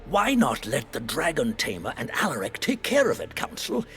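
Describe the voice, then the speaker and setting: A man's voice: mid-range voice, wildly swooping pitch, normal speed, a bit bright, soft background music. A man playing a self-assured, scheming council member in a fantasy game, speaking persuasively. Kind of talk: dramatic fantasy game cutscene acting.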